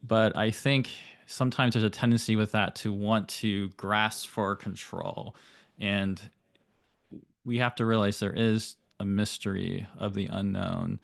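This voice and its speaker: Man, low voice, dry close recording, astrologer guest, thoughtful explainer. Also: fairly even intonation, gravelly, no echo. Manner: thoughtful, flowing explanations with rapid bursts. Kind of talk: explanatory interview talk.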